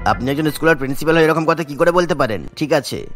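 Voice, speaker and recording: Caring cartoon doctor, male actor: brisk, earnest, principled, faint music behind. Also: fairly high pitch, animated, a bit bright. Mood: firm concern. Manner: earnest, righteous. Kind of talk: cartoon story dubbing.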